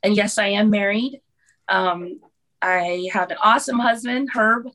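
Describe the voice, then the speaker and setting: A woman speaks in a mid-pitched voice. She talks as an interview guest, pausing often with ums and restarts. She comes across as hesitant and unhurried. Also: a bit bright. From Hawaii. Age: 30s. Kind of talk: casual interview.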